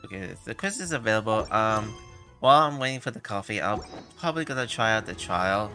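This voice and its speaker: A man chatting casually on a gaming livestream in a halting, meandering way. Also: fairly low pitch, animated, bright, forceful tone. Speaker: live streamer. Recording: game music behind the voice. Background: video game music.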